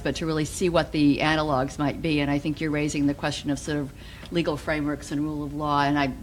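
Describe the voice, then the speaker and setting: A woman with a distinctly low voice moderates a conference session. She steers the discussion and hands over to questioners. Light room noise is audible behind her. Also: poor audio.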